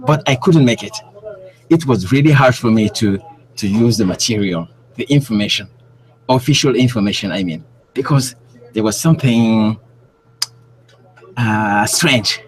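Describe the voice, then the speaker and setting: A man's voice: fairly low pitch, animated, a little slow, long pauses, a little hiss or hum, poor audio. A man from Cameroon, a guest researcher speaking on a remote call, who often stops to search for words. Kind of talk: interview on a call.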